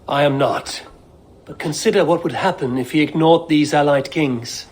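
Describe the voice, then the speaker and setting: An actor playing a loyal, calming adviser in a video game, with a measured, formal, reasoning delivery. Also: male voice, on the high side, natural voice. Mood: reasoning, soothing. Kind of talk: dramatic video game cutscene acting.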